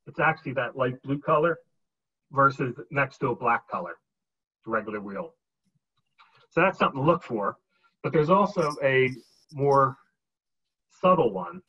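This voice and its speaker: A man's voice: mid-range voice, normal speed, long pauses, slightly rough. Man presenting over muffled video call. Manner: relaxed, explains while holding up items, frequent ums. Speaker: collector giving a seminar. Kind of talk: casual show-and-tell presentation. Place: home dining room with a glass cabinet. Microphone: webcam on a video call.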